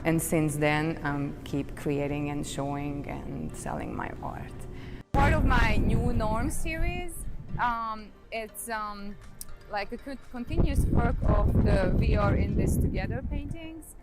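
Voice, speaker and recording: A painter from Hungary speaks English with a Hungarian accent, describing her work hesitantly with many ums and uhs. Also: low-pitched. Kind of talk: artist talk about her painting.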